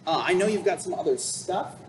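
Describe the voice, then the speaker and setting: A man's voice: high voice, animated, fairly quick. A man hosting a children's program with casual, enthusiastic and encouraging replies. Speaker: host. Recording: echoey room with clear background noise.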